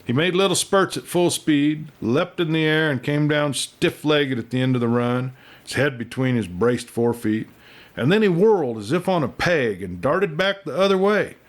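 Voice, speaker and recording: A man reading a western novel aloud as an expressive, folksy storyteller. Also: fairly high pitch, normal speed. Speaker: audiobook reader and storyteller.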